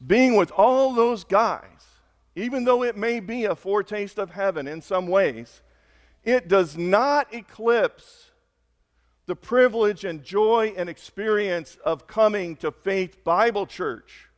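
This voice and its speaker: A man preaching a sermon as a pastor, hoarse, talking conversationally and building to slow, emphatic peaks. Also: sits high, in a large hall. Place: church sanctuary.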